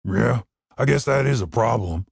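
A man voicing a crude, slow-witted, shameless male half-orc in a fantasy audiobook, with a gravelly, husky voice. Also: mid-range voice, expressive, no echo, studio quality. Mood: nonchalant.

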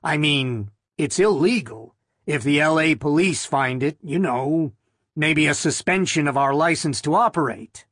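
A man's voice: a little high, expressive, a little slow, a bit bright, dry recording, studio quality. Man playing a blunt, wry technician. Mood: nervous. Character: gruff, cautious, wry male technician.

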